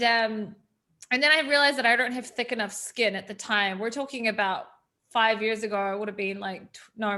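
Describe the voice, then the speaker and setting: A woman's voice: a little high, pushed and bright. A woman, chatting casually as an interview guest with frequent ums. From New Zealand.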